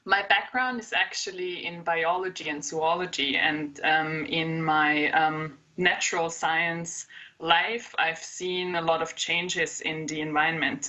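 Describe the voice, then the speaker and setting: Bright, lowish-voiced woman. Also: low-quality recording. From Austria. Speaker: climate activist, panelist. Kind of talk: informal personal introduction on a panel.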